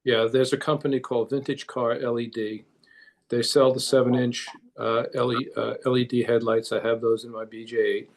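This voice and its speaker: A man's voice: mid-range voice, little pitch movement, moderate pace, a little hiss or hum. Man giving casual technical advice. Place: remote conference call. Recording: conference call audio.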